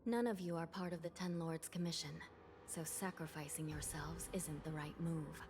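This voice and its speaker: Game role: woman as solemn netherworld judge, slightly low voice, composed, formal, earnest, echoing hall sound. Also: fairly even intonation, moderate pace, background noise, close to an everyday voice. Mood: solemn, resolute. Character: dutiful, selfless undead female judge and prison warden. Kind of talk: video game voice acting. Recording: long reverb, light background ambience.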